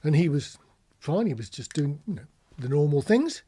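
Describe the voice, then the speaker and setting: A British man gives an informal hands-on lesson in a plain-spoken, explanatory way. His voice sits fairly high and is lively in pitch, with a husky grain. He talks like an instructor who illustrates points with anecdotes. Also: normal speed. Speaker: marine radio instructor. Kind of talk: informal spoken tutorial with practical demonstrations.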